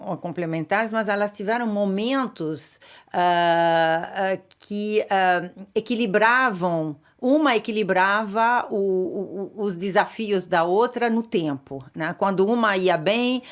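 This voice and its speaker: A woman from Brazil answering interview questions in a studio, thoughtful and hesitant, with frequent pauses and restarts. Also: medium pitch. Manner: thoughtful, hesitant, many filler sounds and restarts. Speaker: interview guest, chamber of commerce president. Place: radio studio.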